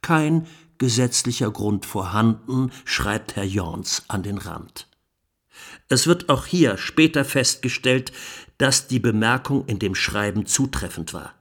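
Sardonic courtroom lawyer. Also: male voice, mid-range voice, lively intonation, fairly bright tone, heavily acted. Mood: dry, sardonic. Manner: rhetorical, sardonic, deliberate emphasis with pointed pauses. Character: male defence lawyer pleading in court, indignant and sardonic. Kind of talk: courtroom speech in a radio play.

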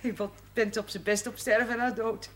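A woman's voice: a little high, fairly even intonation, normal speed, slight background noise, natural voice. An actress playing a dry, motherly former housekeeper. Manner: dry, teasing, plainspoken. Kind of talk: 1970s TV drama acting.

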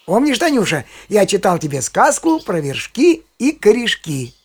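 Kindly old grandpa in a kids audio play, raspy voice, really swingy intonation. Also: male voice, sits high, normal speed, exaggerated voice. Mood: fond, playful. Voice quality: husky, raspy. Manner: animated, explaining to a child. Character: kindly, knowledgeable old grandfather on a nature walk.

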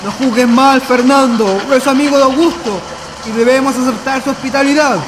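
A man's voice: extremely high pitch, fairly quick, background noise. An actor playing a courteous, conciliatory male traveler in a radio drama. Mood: patient. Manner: placating, courteous. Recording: long reverb, music underneath.

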